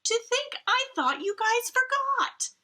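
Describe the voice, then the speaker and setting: A woman's voice: extremely high pitch, sing-song, takes it slowly, bright, forceful tone, voice pushed up, exaggerated voice. A woman voicing a delighted, grateful mother from a children's storybook, gushing with glee. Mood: gleeful, touched. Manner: gleeful, gushing exclamations.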